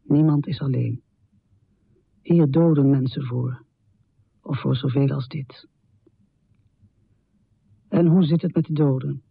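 An actress playing a troubled, confessional middle-aged woman poet in a radio drama, speaking intimately with a low, husky voice. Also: slow, pauses often, no echo, narrow, tinny audio, full character voice. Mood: brooding, grave. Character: troubled, confessional American woman poet, heavy drinker, death-obsessed. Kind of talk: radio drama monologue and poetry recital.

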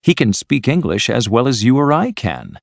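A man's voice: medium pitch, big pitch swings, normal speed, gravelly, no echo, pitched above the performer's own voice. A man voicing a patient, pompous male inventor lecturing proudly in a storybook reading. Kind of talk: storybook character voice.

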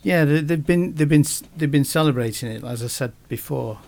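Gravelly-voiced man, halting talker. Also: on the high side, darkish tone. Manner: halting, many restarts and fillers. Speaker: guest. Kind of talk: casual podcast conversation.

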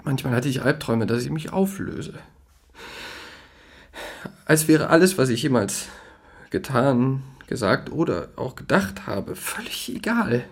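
Actor as excitable, neurotic young man, wide-swinging voice. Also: mid-range voice. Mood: bleak, reflective. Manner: excitable, halting, repeats himself. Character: neurotic, status-proud young man, easily outraged and rattled. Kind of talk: radio play acting.

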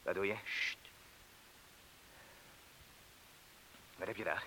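A man's voice: low-pitched, fairly even intonation, quick pace, pushed and bright. A male actor playing a trapped, frightened and impatient petty criminal in a radio drama, agitated and needling, moving between mutters and outbursts. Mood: suspicious, nervous. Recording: hissy old radio play recording.

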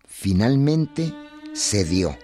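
A man narrates a radio history drama. His voice is lowish and mellow, and he reads the script very slowly, with faint music beneath. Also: very animated.

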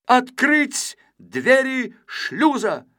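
A man voicing a brisk, authoritative little rocket captain, announcing orders loudly in clipped phrases. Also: very high-pitched, very animated, voice pushed up, heavily acted. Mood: commanding.

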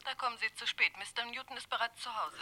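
An actress playing a firm, discreet secretary in a 1960s German radio crime drama, speaking formally and crisply. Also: female voice, on the high side, fast, a crowd in the background, natural voice. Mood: firm, polite. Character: brisk, firm, discreet female office secretary guarding her boss.

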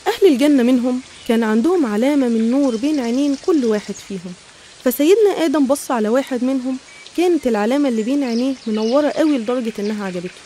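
A woman's voice: high-pitched, very fast, dark tone, slight background noise. A woman with an Egyptian Arabic accent narrating religious stories in a conversational, explanatory way. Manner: explanatory, storytelling.

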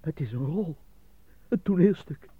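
An anxious, animated stage actor. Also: male voice, on the high side, unhurried, slightly rough, no room sound. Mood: agitated, pleading. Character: anxious, apolitical stage actor pleading under interrogation. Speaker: actor in a radio play. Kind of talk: Dutch radio drama acting. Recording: dull lo-fi radio drama recording.

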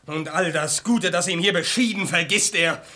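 A fiery young son in a radio drama, high bright voice, declaims everything. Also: male voice, normal speed. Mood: outraged. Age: young adult. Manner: impetuous, declamatory. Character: hot-headed young son threatening violence. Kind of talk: theatrical verse radio play acting.

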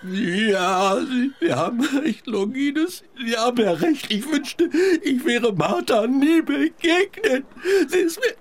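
A man's voice: very high voice, expressive, slow, full character voice. An actor in a German radio drama playing a vain, self-pitying male psychiatrist with a grandiose, theatrical delivery. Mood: wailing self-pity. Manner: grandiose, theatrical, swooping between boast and wail. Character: Sigmund Freud, vain, self-pitying, hysterical cocaine-loving man.

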